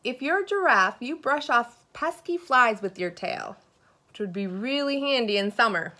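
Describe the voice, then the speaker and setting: High-voiced woman reading a picture book aloud. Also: moderate pace. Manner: expressive, asks listeners questions, teacherly asides. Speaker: elementary science teacher reading aloud.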